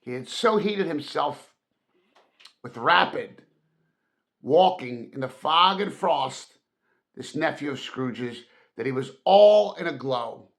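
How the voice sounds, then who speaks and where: Slow, bright-voiced male storybook narrator. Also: on the high side, expressive. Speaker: audiobook narrator.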